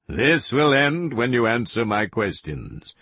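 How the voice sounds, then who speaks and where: Man acting cruel male interrogator, audiobook villain, deliberate, commanding, slightly husky, very varied intonation. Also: mid-range voice, very animated, a bit bright, no room sound, exaggerated voice. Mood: soft to barking. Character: cold, sadistic male interrogator, smugly patient. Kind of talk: audiobook character voice.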